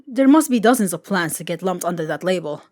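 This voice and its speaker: A woman's voice: fairly low pitch, expressive, brisk, a little mellow. Woman voicing an awkward, formal young triton adventurer. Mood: flustered, rambling. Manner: stilted formal phrasing with stammers.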